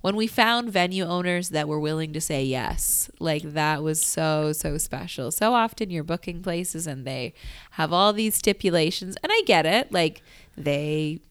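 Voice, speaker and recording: A woman's voice: deep voice, animated, measured pace, clear, resonant tone. A woman hosting a casual radio interview in a chatty, affirming way. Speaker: interviewer, radio show host.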